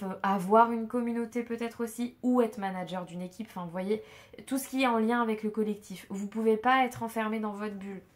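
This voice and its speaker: Woman, head cold, nasal and stuffy; chatty tarot reader, halting, lots of "euh". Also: medium pitch. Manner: hesitant, many fillers and restarts. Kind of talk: casual spoken tarot reading to viewers.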